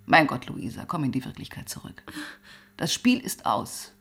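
An actress playing a weary, relentless police detective who also narrates in a radio crime drama, intense and often dropping to a whisper. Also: female voice, very deep voice, very animated, normal speed, fairly bright tone, slightly rough, heavily acted. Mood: pressing, accusing.

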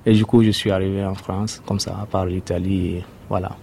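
A young man from Sudan, native Arabic speaker, giving a hesitant personal testimony with many pauses. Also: deep voice, little pitch movement, creaky, dark tone, a little hiss or hum. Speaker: interviewee, refugee.

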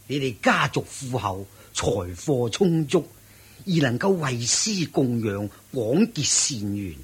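Dignified old-world prince in a radio play, hong kong cantonese accent, husky, slow swooping delivery, effusive. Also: male voice, mid-range voice, big pitch swings, exaggerated voice. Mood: effusive praise. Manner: stately, effusive. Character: genial, dignified royal lord and official guest. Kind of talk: radio drama acting.

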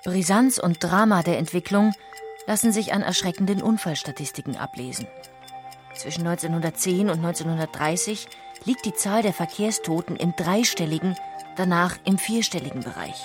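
A woman reading scripted documentary narration with measured, factual delivery. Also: fairly low pitch, music in the background.

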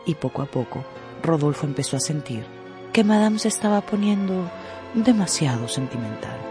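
A narrator telling a literary story in a measured, dramatic radio style. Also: mid-range voice, animated. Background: music.

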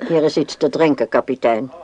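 Strict older matriarch in radio play, low voice, sharp and scolding. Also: female voice, a little fast, a little hiss or hum. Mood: stern, scolding. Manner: stern, scolding. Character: stern, sharp older mother-in-law. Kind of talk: Dutch radio drama acting.

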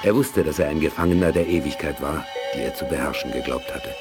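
A deep-voiced male storyteller narrates a 1980s science fiction radio play. His delivery is solemn, with music clearly playing beneath him. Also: fast. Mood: solemn, suspenseful. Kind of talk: 1980s German audio drama narration. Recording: music under the voice.

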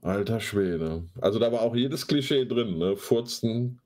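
A man's voice: on the low side, animated, unhurried, a little mellow. A man, sarcastic and slangy, hosting a casual commentary show. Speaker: host of a live online talk show. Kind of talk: casual commentary with mocking read-aloud.

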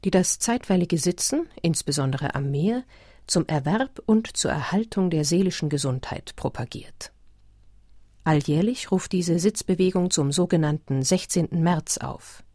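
A narrator in a radio play, reading a whimsical documentary report in a measured, formal, matter-of-fact way. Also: medium pitch, darkish tone, dry recording, studio quality, lightly acted.

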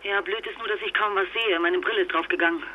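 An actress playing a fragile, exhausted wife and mother stranded abroad, speaking haltingly in a naturalistic radio drama through a telephone filter. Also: mid-range voice, steady tone. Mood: flustered, hurried. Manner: halting, stammering, emotionally fragile. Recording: noisy muffled phone-line sound.

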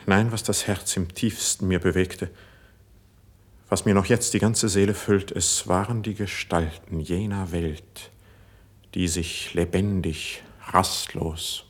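An actor playing a passionate, melancholic young court poet in solemn, declamatory classical verse for radio drama. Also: male voice, low voice, slightly rough, exaggerated voice. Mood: awed, self-doubting. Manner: solemn, measured, declamatory delivery.

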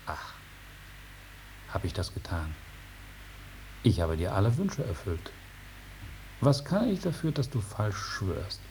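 An actor playing an aloof old male dragon in a 1950s German radio drama, speaking in a drawn-out, unhurried and repetitive way. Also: lowish voice, big pitch swings, darkish tone, full character voice. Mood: mocking refusal. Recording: noisy 1950s radio recording.

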